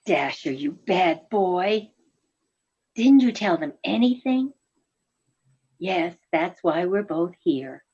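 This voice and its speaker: Muffled audio. Casting: woman as gentle, genteel reindeer wife in a radio play, lowish husky voice. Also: animated, slow pace, long pauses, exaggerated voice. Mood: fond, wistful. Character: gentle, affectionate female reindeer, wife and mother. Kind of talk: reader's theatre radio play acting. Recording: dull, muffled recording.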